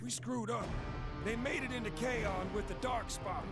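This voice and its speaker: A man's voice: very high-pitched, moderate pace. A man voicing a cool, wisecracking male robot soldier in a video game cutscene, speaking in a slangy, laid-back way. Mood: wry. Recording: loud game music underneath.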